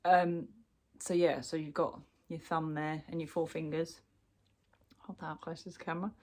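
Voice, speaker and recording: Low-voiced woman, stuffy with a cold. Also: level tone, moderate pace, a little mellow. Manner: chatty, with many ums and restarts. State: bunged up with a cold. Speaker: crochet vlogger. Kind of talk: casual show-and-tell chat.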